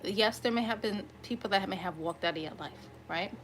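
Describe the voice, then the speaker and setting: A woman with a slightly raspy voice giving an improvised tarot reading to viewers, conversational and often checking in with listeners. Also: medium pitch, a little fast, fairly bright tone, slight background noise. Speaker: tarot reader hosting an online collective reading.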